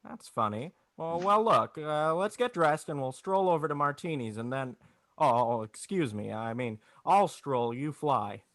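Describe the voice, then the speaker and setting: A man's voice: a little high, expressive, unhurried, a bit bright, no echo. A man playing a despairing, wry, bewildered family man with a stammering, folksy manner, in 1940s radio drama style. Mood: puzzled, joking. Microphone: video call.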